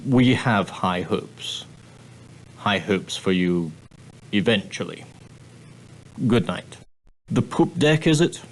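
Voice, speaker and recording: A prim and proper male steward of an ocean liner, speaks in a fairly low voice. He is formal and clipped, with a dry, condescending edge beneath the courtesy. It is scripted character dialogue for an adventure game. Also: animated, slow pace, long pauses, a little hiss or hum, rough recording. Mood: dry, exasperated. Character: prim, straight-laced male ship's steward, dryly sardonic.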